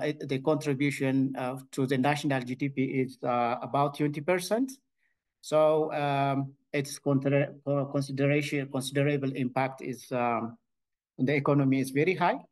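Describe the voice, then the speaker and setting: A man's voice: mid-range voice, darkish tone. Hesitant Ethiopian man presenting research. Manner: hesitant, many filled pauses and restarts. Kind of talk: conference presentation.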